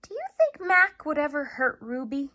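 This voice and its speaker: A woman's voice: sits high, sing-song, moderate pace, bright, forceful tone, pitched above the performer's own voice, heavily acted. A woman reading a storybook aloud, voicing an earnest, caring young daughter in an eager, tender manner. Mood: anxious.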